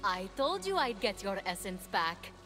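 An actress playing a brave young warrior heroine in a game, sincere and straightforward. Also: female voice, mid-range voice, sing-song, measured pace. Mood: reassuring. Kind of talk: game cutscene acting. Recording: loud music behind the voice.

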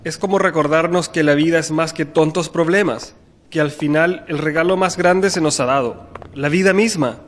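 A man's voice: a little high, normal speed, natural voice. A man playing an earnest, tender, conflicted suitor in a radio soap, speaking in a heartfelt, solemn way.

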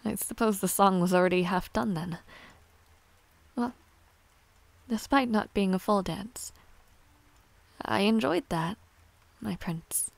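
Woman playing a young noble villainess, romantic audio roleplay, proud but shy, tender, formal halting phrasing, unhurried, dry close sound. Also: medium pitch, creaky, no echo. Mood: fond, hesitant. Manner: formal, halting, softly spoken.

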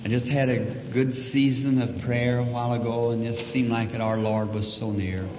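Folksy preacher in an old echoey hall. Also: male voice, mid-range voice, flat delivery, normal speed. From United States. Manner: humble, folksy, soft-spoken with small laughs. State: slightly hoarse voice. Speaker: evangelist preacher. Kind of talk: sermon. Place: church auditorium. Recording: old echoey hall recording, dull and hissy.

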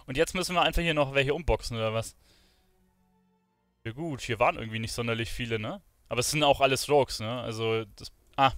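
A man's voice: mid-range voice, quick pace, a bit bright, no room sound, faint music behind. A man giving casual let's play commentary, musing aloud in slangy language. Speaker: gaming streamer playing and commenting.

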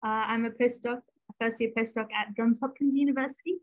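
A woman's voice: on the high side, fairly even intonation, brisk, rough recording. A young woman with an Australian accent, a postdoc introducing herself in a chatty, upbeat way on a video call. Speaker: astronomy postdoc.

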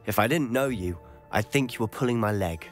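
A man's voice: on the low side, lively intonation, fairly quick, natural voice. A young man with an English accent playing a sceptical teenage schoolboy wizard in a video game, wry and incredulous. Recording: background music under dialogue.

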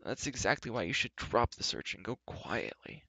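A man's voice: mid-range voice, expressive, normal speed, bright, forceful tone, husky, dry recording, heavily acted. A man voicing a gloomy, nervous fair-folk creature in a storybook reading, moaning, dithering and full of self-pity. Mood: falsely obliging.

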